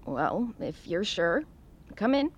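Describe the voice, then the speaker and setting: A woman's voice: mid-range voice, sing-song, takes it slowly, slightly rough, dull audio, heavily acted. A woman playing a wary, little elderly widow in an audio drama. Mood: doubtful. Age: older. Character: wary little elderly widow seeking contact with dead husband.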